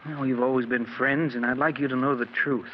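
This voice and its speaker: A male actor as a henpecked bookkeeper husband in an old radio melodrama. His voice is medium in pitch and earnest. The sound is muffled, with faint noise. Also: steady tone, fairly quick, lightly acted. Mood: grave, confessional. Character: weary, henpecked husband, a mild bookkeeper with dark secrets. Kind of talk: 1940s radio drama acting.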